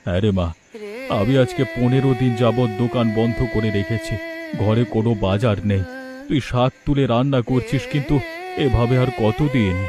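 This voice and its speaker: A man's voice: medium pitch, moderate pace, natural voice. A man playing an anxious, self-pitying widowed father in a melodramatic cartoon, with a plaintive, lamenting manner. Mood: worried, weary. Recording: music behind the voice.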